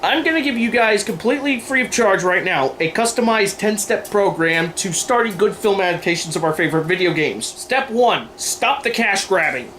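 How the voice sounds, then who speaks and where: A man's voice: high-pitched, animated, moderate pace, hardly any pauses, fairly bright tone. A man hosting a video, delivering scripted opinion commentary in a sarcastic, emphatic way. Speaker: video host. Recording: noisy room recording.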